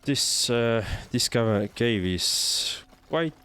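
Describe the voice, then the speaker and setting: A man's voice: mid-range voice, animated, slow pace, a bit bright, clean tone. A man from Estonia with an Estonian accent, casually commentating on a game and chatting as a livestreamer. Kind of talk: casual live gameplay commentary and chat.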